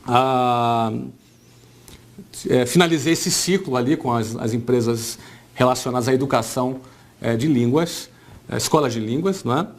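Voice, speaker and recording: A man's voice: mid-range voice, normal speed, pauses often. A man in his forties with a Brazilian Portuguese accent, giving an informal business talk as an entrepreneur, conversational and often checking in with his listeners. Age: 40s.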